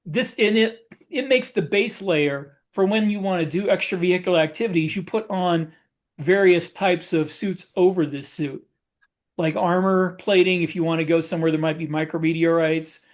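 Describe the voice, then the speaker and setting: A man's voice: high voice, moderate pace. A man co-hosting a podcast as a science fiction author, explaining things in casual conversation.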